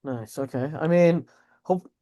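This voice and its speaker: A man's voice: fairly high pitch, measured pace, mellow. A man co-hosting a podcast, reacting to news in a casual, slangy way with mild swearing. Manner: slangy, informal, swears mildly. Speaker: podcast co-host.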